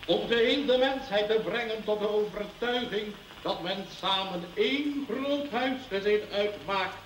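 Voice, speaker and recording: A man's voice: sits high, measured pace. A man playing a solemn priest giving an exhortative address on 1920s radio, distant and noisy. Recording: echoey distant radio sound with noise.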